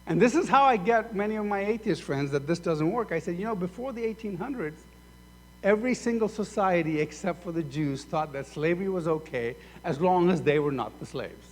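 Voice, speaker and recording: A man's voice: sits high, a little fast, slight background noise. A man from Sudan preaching a sermon as pastor, acting out both sides of imagined conversations. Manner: animated, acts out both sides of dialogues. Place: church sanctuary. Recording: echoey hall reverb.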